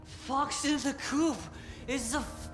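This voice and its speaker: Deranged childlike woman prisoner, high singsong, slow rambling rhymes, game character, echoey room. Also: fairly even intonation. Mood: agitated babbling. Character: unhinged, childlike female prisoner babbling eerie nonsense rhymes. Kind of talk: video game voice acting. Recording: echoey room, loud music underneath.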